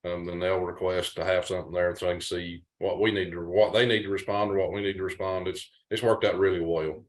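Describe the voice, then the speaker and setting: A man's voice: low-pitched, flat delivery, a little fast. A man speaking on a webinar panel over a video call, explaining his work in a plain, matter-of-fact way. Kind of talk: casual explanatory talk.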